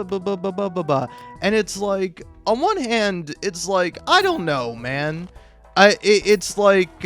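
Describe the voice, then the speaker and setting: A man's voice: a little high, fairly bright tone. A man rambling casually on his own as a video creator, self-deprecating and joking, with stammered false starts and plenty of swearing. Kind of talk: rambling casual solo commentary. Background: background music.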